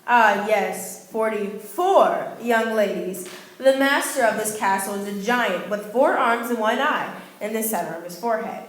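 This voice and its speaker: A woman's voice: medium pitch, unhurried, hardly any pauses, lightly acted. A woman playing a courteous, regal king in a radio play, speaking in a formal, archaic manner. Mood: genial, eager. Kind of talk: stage-like amateur radio play acting. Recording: roomy live room, faint music.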